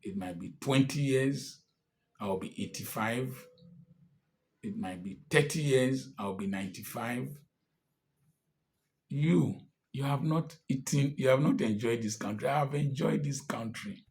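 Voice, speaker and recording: An older Nigerian man in his sixties delivers a political address at an unhurried, measured pace. His speech is emphatic and defiant, and he leans hard on each point. His pitch sits in a middling range for a man. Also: darkish tone. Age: 60s. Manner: emphatic and defiant, rising to angry outbursts. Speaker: commentator. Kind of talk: impassioned political address to camera.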